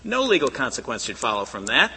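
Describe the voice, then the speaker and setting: A man arguing firmly and loudly as a lawyer before a high court, in formal courtroom style. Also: wildly swooping pitch, fast, slightly rough. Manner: firm, insistent, persuasive; loud. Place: large courtroom. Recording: reverberant archival courtroom recording.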